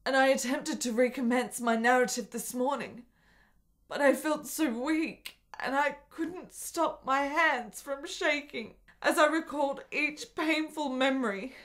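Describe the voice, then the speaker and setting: A woman's voice: high-pitched, unhurried. A woman playing a sickly, desperate, intense young woman in a period radio drama, with formal, literary, emotionally fraught diction. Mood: frail, earnest.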